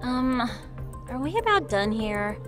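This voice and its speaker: A woman voicing an easygoing, gossipy high school girl in a video game dub, casual and chatty. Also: sing-song, takes it slowly, music in the background. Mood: mildly impatient.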